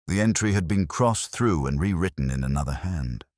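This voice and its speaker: A man playing a melancholy, literary police detective, recounting a case in a measured, solemn, reflective way as scripted audio mystery narration. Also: low voice, animated, darkish tone, no echo, studio quality. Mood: brooding, reflective.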